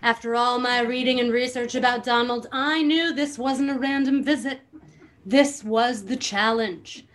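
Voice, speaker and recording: A woman's voice: a little high, measured pace, fairly bright tone. A woman hosting a podcast, giving a dramatic book reading with casual, amused asides and theatrical emphasis.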